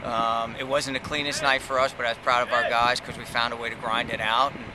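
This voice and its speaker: A middle-aged man, a college soccer coach, giving measured post-game comments with many pauses and fillers, outdoors by the field at night. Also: medium pitch, level tone. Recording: outdoor noise behind.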